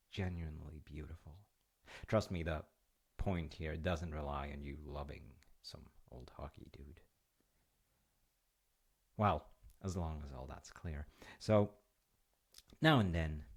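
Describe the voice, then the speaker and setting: Male actor as comforting, slightly goofy dad for intimate roleplay: very low, gravelly, soothing, conversational. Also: full character voice. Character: gentle, reassuring, slightly goofy dad comforting his child. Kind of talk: intimate roleplay monologue with storytelling.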